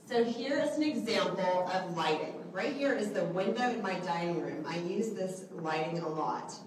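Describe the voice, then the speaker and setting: A woman with a soft voice leads a live group workshop, chatty and often checking in with the audience with "okay?" and "right?". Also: on the low side, lively intonation, moderate pace, crowd noise. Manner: chatty, asks the group questions, many okays and rights. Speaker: trainer teaching a selfie workshop. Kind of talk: live instructional talk to a group. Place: indoor room with a large window, small audience present. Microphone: handheld microphone. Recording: echoey room, voice from far off.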